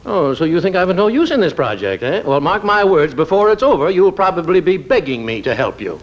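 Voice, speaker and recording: A man's voice: fairly high pitch, big pitch swings, fairly quick. An American actor playing a fussy, pompous but kindly middle-aged doctor and father in an old radio comedy. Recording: muffled old-time radio recording.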